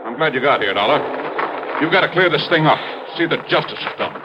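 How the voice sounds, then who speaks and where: An American actor playing a blustering, big-hearted older mine owner in a 1950s radio drama, gruff, emphatic and booming. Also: male voice, expressive, quick pace, lightly acted. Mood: agitated, insistent. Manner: emphatic, gruff, swinging from bellowing to grave. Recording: muffled, noisy old radio recording.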